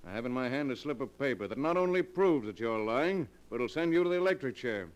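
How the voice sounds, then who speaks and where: An actor playing a calm, exacting county coroner in a vintage radio drama, speaking deliberately. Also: male voice, medium pitch, natural voice. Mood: measured. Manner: deliberate, measured. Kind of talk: 1940s radio acting.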